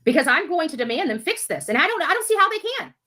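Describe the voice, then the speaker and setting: A woman's voice: extremely high pitch, big pitch swings, fast talker, sharp tone. A woman commentator giving an impassioned monologue to her viewers, emphatic and indignant, repeating herself for force. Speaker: YouTube commentator.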